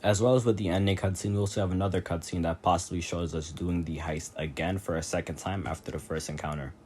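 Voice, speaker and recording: A man's voice: deep voice, fairly even intonation, fast talker, noisy background. A man hosting a gaming video, giving a casual explainer voice-over. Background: music.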